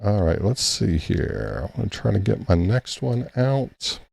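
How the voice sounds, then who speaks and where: A man's voice: on the low side, expressive, measured pace, vocal fry, a little mellow, breathy edge, dry recording, studio quality. A man hosting a casual late-night ham radio live stream, rambling easily and thanking chat members by name.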